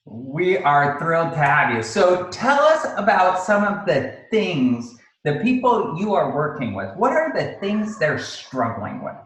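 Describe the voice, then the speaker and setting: A man's voice: a little high, very animated, measured pace, few pauses, a bit bright. A man hosting a podcast, introducing his guest in an upbeat, enthusiastic way. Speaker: podcast host. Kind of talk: hosted interview introduction. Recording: very echoey room.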